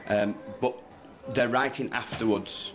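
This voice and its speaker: A man's voice: mid-range voice, steady tone, unhurried, fairly bright tone. A man who works as a primary school teacher, explaining things earnestly and conversationally in a radio interview. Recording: roomy echo, people chattering behind.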